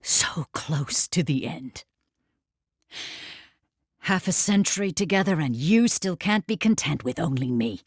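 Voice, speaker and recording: Very low, husky, wounded older woman. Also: animated, measured pace, long pauses, a little mellow, dry recording, studio quality, heavily acted. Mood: hurt, bitter. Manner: terse, reproachful. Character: Romaine, wounded, bitter elderly woman, lifelong lover. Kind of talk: audio drama acting.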